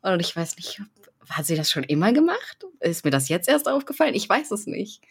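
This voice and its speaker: A woman in her thirties from Berlin, a podcast guest chatting casually, often laughing and pausing to search for words. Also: on the low side, very animated, husky. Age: 30s. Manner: giggly, hesitant.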